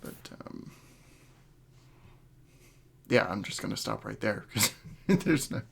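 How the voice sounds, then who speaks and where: A man hosting a casual podcast chat, talking in a halting, hedging way as he thinks aloud. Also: fairly low pitch, lively intonation, gravelly, fairly bright tone, breathy edge. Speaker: podcast host.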